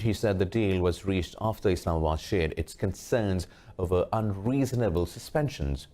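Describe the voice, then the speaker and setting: Low-voiced male news anchor. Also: warm tone. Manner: formal, measured delivery. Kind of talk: scripted news bulletin reading.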